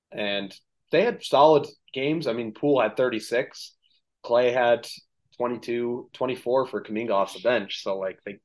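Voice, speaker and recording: A man hosting a casual sports podcast, recapping games with plenty of ums and filler, his voice a little worn. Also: fairly low pitch. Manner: relaxed, filler-heavy recap of game stats. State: slightly losing his voice. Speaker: podcast host.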